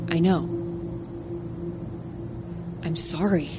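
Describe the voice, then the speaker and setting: An actress playing an anguished, fragile young ex-girlfriend in an audio drama, pleading in a hushed, halting way. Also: on the low side, fairly even intonation, loud music behind the voice. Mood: remorseful. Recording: noisy, night insect ambience behind.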